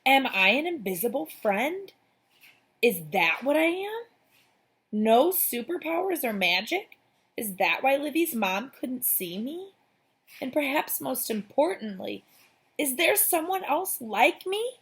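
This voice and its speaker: A small, invisible imaginary friend from a children's story, played by a woman, speaking in a raised pitch. He is anxious and earnest, full of wondering questions and wry little asides. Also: sing-song, slow pace, fairly bright tone, husky, exaggerated voice.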